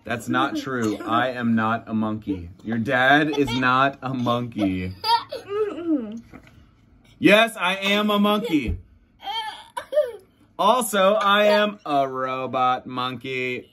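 A man's voice: mid-range voice, lively intonation, slow, long pauses, crowd noise. A man in his thirties reading a picture book aloud to young children, playful and theatrical, with mock reluctance and exaggerated silly voices. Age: 30s. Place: child's bedroom at home. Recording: children laughing and chattering behind.

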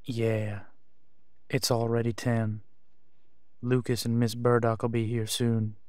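Man acting a smooth, steady werewolf pack leader. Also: mid-range voice, takes it slowly, no room sound, clean studio sound, lightly acted. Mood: tender, teasing. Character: teasing, dominant male werewolf pack leader. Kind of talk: roleplay audio drama acting.

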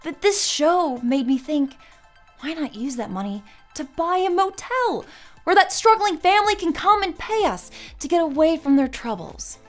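Animated, high-voiced satirical woman host. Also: moderate pace, soft background music. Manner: arch, theatrical mock-sincere delivery. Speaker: video essay host. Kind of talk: satirical commentary to camera. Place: indoor studio set with plain backdrop.

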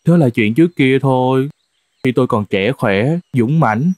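A man's voice: medium pitch, normal speed, a little mellow, no echo, natural voice. A man voicing a weary old horse in a cartoon folk tale, plaintive and resigned. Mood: dejected, resigned. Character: weary, despondent old male horse, loyal and cast out. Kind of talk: animated folk tale dialogue. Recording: music under the voice.

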